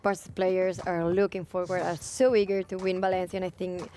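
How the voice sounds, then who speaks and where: A woman's voice: on the low side, flat delivery, fairly quick, dark tone, a little hiss or hum. A young woman from Spain with a Spanish accent, co-hosting a casual football chat and reading out fan questions, with many fillers and restarts. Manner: hesitant, filler-heavy.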